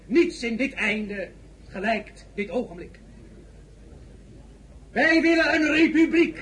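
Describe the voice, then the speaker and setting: An actor playing an embattled, defiant general who addresses parliament in grandiose, declamatory phrases in an audio drama. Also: male voice, very high-pitched, full character voice, low-quality recording. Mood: grandiose, resolute. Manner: declamatory, halting public oratory. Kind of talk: theatrical political speech in audio drama. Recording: loud crowd clamour behind.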